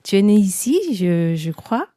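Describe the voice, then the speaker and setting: A woman's voice: lowish voice, unhurried, dark tone, no room sound, clean studio sound. A woman from Haiti hosting an interview podcast, welcoming and enthusiastic, with plenty of hesitant fillers. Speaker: podcast host.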